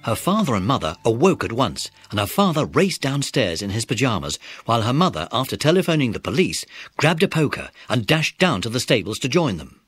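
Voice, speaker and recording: A man's voice: mid-range voice, big pitch swings, fairly quick, a bit bright, breathy edge, no echo, faint music behind. A British man narrating a scripted children's story.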